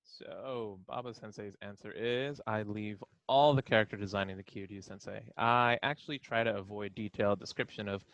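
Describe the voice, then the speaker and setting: A man's voice: fairly low pitch, fairly even intonation, normal speed, hardly any pauses, fairly bright tone, no echo, muffled recording. A man chatting casually on a Q&A panel and reading answers aloud as an editor.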